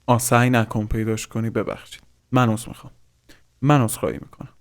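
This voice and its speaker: A man's voice: medium pitch, level tone. A man gives casual reaction commentary as a host, swearing informally and dropping in English slang.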